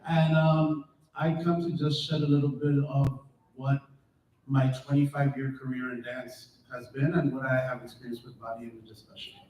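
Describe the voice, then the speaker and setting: A man's voice: medium pitch, flat delivery, normal speed, darkish tone, slight background noise. A man dancer from Chicago introducing himself on a panel, cheerful and joking. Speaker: professional dancer. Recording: echoey room from far off.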